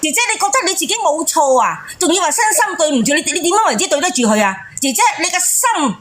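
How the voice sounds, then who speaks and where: A woman's voice: extremely high pitch, big pitch swings, fast talker, fairly bright tone. A woman co-hosting a call-in show, giving unscripted advice in an insistent, scolding way and repeating her points. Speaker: co-host of a relationship call-in show.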